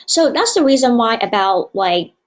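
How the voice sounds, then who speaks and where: A woman's voice: on the high side, lively intonation, moderate pace. Woman, dull call audio. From Hong Kong. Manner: earnest, many fillers and hesitations. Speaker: university student wellbeing director. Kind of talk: conference presentation. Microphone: remote video call.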